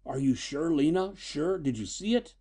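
Need a man playing a furtive older scientist father for pulp audiobook: stern, guilt-stricken, mellow timbre, animated intonation. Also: a little high, normal speed, breathy edge, pitched above the performer's own voice. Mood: anguished. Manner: severe, guilt-stricken, hesitant.

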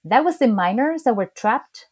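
A woman's voice: fairly high pitch, lively intonation, moderate pace, darkish tone, breathy edge. A woman from Chile with a strong Chilean Spanish accent, Spanish being her first language, telling stories in a chatty, casual conversation. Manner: chatty storyteller, restarts and laughs. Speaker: interviewee.

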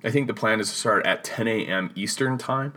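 A man with a very low voice that still sounds bright and forceful. He hosts a gaming podcast and runs through announcements in a chatty, rambling way, correcting himself as he goes. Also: fairly quick. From Utah. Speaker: podcast host. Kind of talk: casual announcements.

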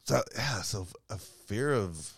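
A soft-spoken man co-hosting a casual podcast chat, rambling with lots of restarts and quick laughs. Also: lowish voice, animated, gravelly, dry recording, studio quality. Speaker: podcast co-host. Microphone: studio mic.

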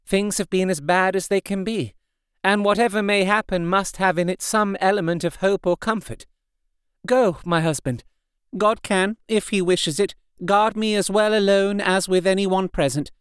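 A man's voice: high-pitched, steady tone, moderate pace, clear, resonant tone, no echo, clean studio sound, pitched above the performer's own voice, lightly acted. A man voicing a brave, resolute young wife who speaks earnestly and with composure. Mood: calm resolve. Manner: earnest, composed, later wailing in anguish.

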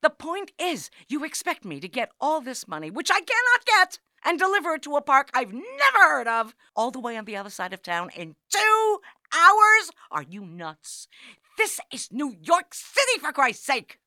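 Actress as an exasperated, high-voiced sarcastic New York wife. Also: female voice, huge pitch swings, normal speed, bright, forceful tone, no room sound, clean studio sound, full character voice. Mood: indignant. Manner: emphatic, sarcastic, indignant. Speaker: actress in audio comedy. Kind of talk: comedic audio drama acting.

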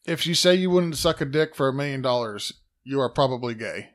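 A man co-hosting a podcast, loud by his own account, reading out listener questions and arguing back. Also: medium pitch, lively intonation, fairly quick. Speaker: podcast co-host. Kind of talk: casual podcast banter.

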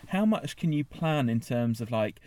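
A man's voice: mid-range voice, expressive, normal speed, a little mellow. A man interviewing casually, feeling his way through questions in a conversational manner.

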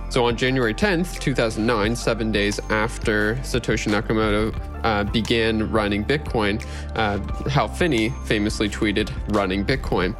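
A man hosting a podcast, giving a casual, somewhat halting introduction and announcement. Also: lowish voice, music in the background. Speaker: podcast host.

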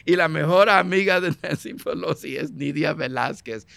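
A man's voice: a little high, moderate pace, gravelly, sharp tone, no echo. A Puerto Rican man answering as an interview guest, with a voice sitting a little above the usual male range. He argues emphatically, circles back to repeat his points, and drops English phrases into his Spanish. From Puerto Rico. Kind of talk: conversational political interview.

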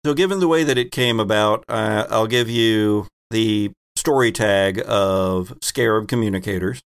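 Gravelly man running a game. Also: lowish voice, a little slow. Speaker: game master. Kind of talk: narrating a tabletop role-playing game.

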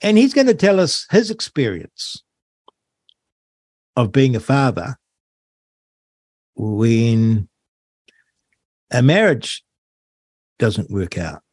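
A man's voice: mid-range voice, big pitch swings, slow pace, long pauses, a little mellow, breathy edge, dry recording. A middle-aged man with a New Zealand accent, hosting a talk radio show, speaking in an earnest and indignant way. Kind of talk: talk radio monologue and interview. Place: radio studio.

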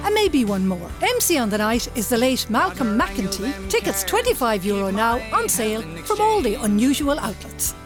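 A woman's voice: fairly high pitch, sing-song, normal speed, hardly any pauses. A woman playing an upbeat concert promoter in a parody local radio advert, with an Irish accent. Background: music.

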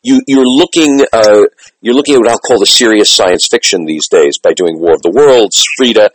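A man interviewing someone conversationally, wordy and halting, often repeating words as he works toward his point. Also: fairly low pitch, slightly rough, no room sound, low-quality recording. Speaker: interviewer, host.

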